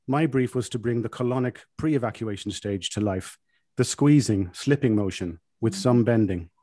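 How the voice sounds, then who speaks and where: Deadpan man reading a comic monologue, dry sound. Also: mid-range voice, normal speed, dry recording. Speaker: author reading aloud. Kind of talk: scripted literary reading, first-person comic monologue.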